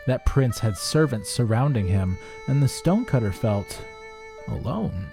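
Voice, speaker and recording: A man narrating a rhyming folk tale as a storyteller, theatrical and expressive. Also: mid-range voice, measured pace, creaky, music in the background.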